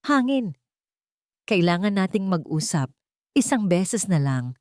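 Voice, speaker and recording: A woman voicing a wronged older sister in a story, calm and firm. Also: on the low side, unhurried, dry recording, clean studio sound. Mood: calm, resolute.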